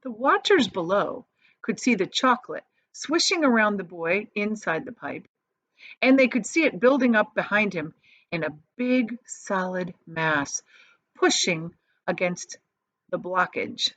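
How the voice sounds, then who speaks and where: A woman reading a children's story aloud as an expressive storyteller. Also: medium pitch, unhurried, dry recording. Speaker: storybook reader. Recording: slightly dull, dry recording.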